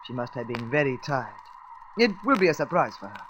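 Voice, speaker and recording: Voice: medium pitch, very animated, brisk, fairly bright tone, slight background noise, rough recording. A performer playing an earnest, level-headed scientific space traveller in a radio serial, with precise, formal phrasing. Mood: thoughtful. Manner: precise, formal, insistent phrasing without contractions.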